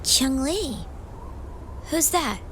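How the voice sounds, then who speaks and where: A woman's voice: high voice, sing-song, drawn-out words, a bit bright, a little hiss or hum, heavily acted. An actress voicing a frightened, guarded young girl living alone in a ruined village. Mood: wary. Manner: nervous, guarded. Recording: game score underneath.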